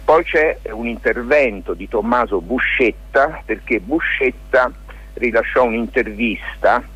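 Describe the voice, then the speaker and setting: A mature man, an interview guest, explains things informally and discursively, often hesitating, in a husky, rough voice. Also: mid-range voice, a little slow, a bit bright, soft background music, rough recording. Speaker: lawyer and theatre maker, interview guest.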